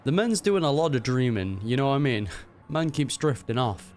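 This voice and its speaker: A man's voice: medium pitch, sing-song, moderate pace, darkish tone, a little hiss or hum. A young man giving casual, laid-back reaction commentary with slangy asides.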